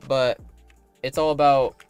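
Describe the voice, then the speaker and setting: Laid-back young man, slightly raspy voice. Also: medium pitch, steady tone, takes it slowly, soft background music. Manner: laid-back, rambling, full of likes and tag questions; talking in a put-on broadcast voice. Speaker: animator and streamer. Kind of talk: casual livestream chat while playing a game.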